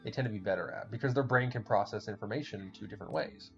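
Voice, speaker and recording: Casual gamer host, German accent, low gravelly voice, loose swearing ramble. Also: male voice, fast talker. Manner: rambling, swears often, laughs a lot. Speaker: podcast host playing a game. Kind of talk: casual chat while gaming. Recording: dull lo-fi recording with faint music.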